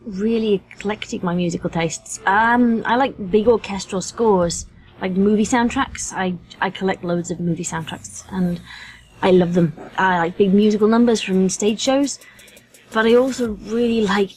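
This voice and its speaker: A woman's voice: medium pitch, moderate pace, darkish tone, a little hiss or hum, low-quality recording. A woman with a British accent chatting casually as a podcast guest, with a wry, self-deprecating manner. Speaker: podcast guest, voice actress and fan fiction writer.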